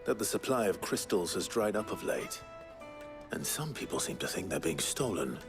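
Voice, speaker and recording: Very deep-voiced brooding British hero. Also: male voice, very animated, normal speed, music in the background. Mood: stern. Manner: terse, serious, plain-spoken. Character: brooding, blunt male fantasy hero, a lord's nephew. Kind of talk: video game voice acting.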